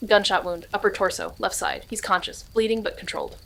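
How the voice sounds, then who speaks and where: Steady, creaky-voiced female investigator played by an actress. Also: medium pitch, fairly quick, natural voice. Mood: steady, urgent. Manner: terse, level-headed. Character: calm, practical, steady female amateur investigator. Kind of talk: scripted noir audio drama dialogue.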